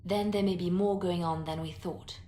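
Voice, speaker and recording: A composed woman spymaster from a fantasy game, voiced by an actress. She speaks in a somewhat low voice, guarded, grave and deliberate. The recording is echoey, with some noise behind it. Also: fairly even intonation, dark tone, lightly acted. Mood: quietly concerned. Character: composed, secretive woman spymaster, quietly watchful. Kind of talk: video game character acting.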